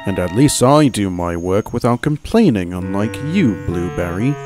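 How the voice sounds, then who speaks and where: A man's voice: fairly low pitch, big pitch swings, moderate pace, a little mellow, faint music behind. A man voicing a cocky male steam engine that taunts a rival in a smug tone, in an amateur storybook reading.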